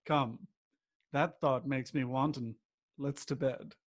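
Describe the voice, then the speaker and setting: A man's voice: medium pitch, fairly even intonation, takes it slowly, natural voice. A man acting an amorous, wanton lover in a theatrical period comedy.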